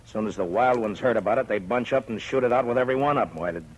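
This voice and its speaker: An actor playing a stubborn, gruff American frontier marshal in a 1950s radio western, talking firmly and bluntly with a dry edge. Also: male voice, medium pitch, racing, natural voice. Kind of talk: 1950s radio drama acting. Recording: noisy old 1950s radio recording.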